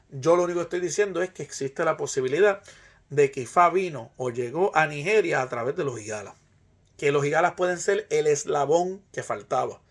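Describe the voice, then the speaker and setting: Higher-pitched Puerto Rican man, emphatic lecturer. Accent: Puerto Rican Spanish. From Puerto Rico. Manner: emphatic, rhetorical, repeats phrases for emphasis. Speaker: presenter, Ifa practitioner. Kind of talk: argumentative informal lecture.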